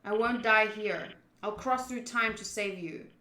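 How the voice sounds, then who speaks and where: Bright, echoey woman reading dramatically. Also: medium pitch, unhurried. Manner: expressive, dramatic, with excitable interjections. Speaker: streamer playing through a visual novel. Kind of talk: reading game narration aloud with reactions. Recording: roomy echo.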